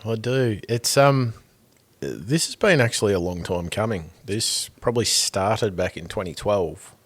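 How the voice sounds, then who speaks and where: A tired Australian man with a hoarse voice, hosting a casual podcast chat with upbeat banter. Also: on the low side, expressive, a little slow. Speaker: podcast host.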